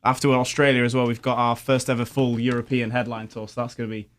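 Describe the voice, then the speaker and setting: A man in a band, telling tour stories in a relaxed, casual way. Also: mid-range voice, fast talker. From England. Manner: relaxed storytelling. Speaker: band member. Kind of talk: casual chat.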